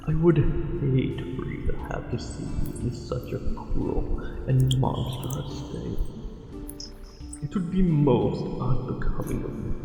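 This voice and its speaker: A man voicing a possessive, courtly merman monster in an ASMR roleplay, tender yet menacing, with slow, formal, old-fashioned speech. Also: medium pitch, creaky, mellow. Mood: concerned, courtly. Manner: slow, formal, archaic diction with lingering pauses. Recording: cavernous echo and long reverb, music behind.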